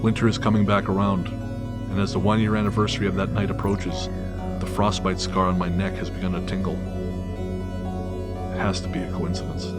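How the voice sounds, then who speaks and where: A low-voiced man narrates a scripted horror tale in the first person. His delivery is dramatic storytelling, set over a prominent bed of music. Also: flat delivery, fairly quick, long pauses. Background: loud music.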